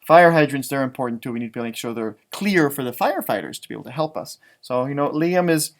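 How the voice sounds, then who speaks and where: A man's voice: mid-range voice, animated, quick pace. A man with an American accent reads a children's picture book aloud like an elementary teacher, stretching words for emphasis and adding chatty, simple explanations. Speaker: elementary music teacher reading storybooks aloud.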